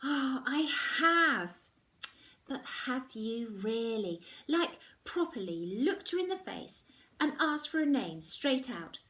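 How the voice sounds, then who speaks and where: A woman's voice: high voice, very animated, slow, a bit bright, far from the mic, pitched above the performer's own voice, heavily acted. Woman reading as a guarded, troubled young girl. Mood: defensive.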